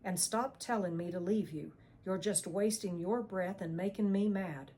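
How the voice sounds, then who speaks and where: A woman's voice: mid-range voice, moderate pace, mellow, husky. A woman narrator voicing a frightened but stubborn young woman fleeing danger. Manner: urgent, stubborn, whispering at times.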